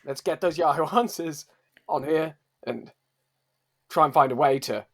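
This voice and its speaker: Male improv player, fairly high pitch, comedy dialogue. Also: measured pace.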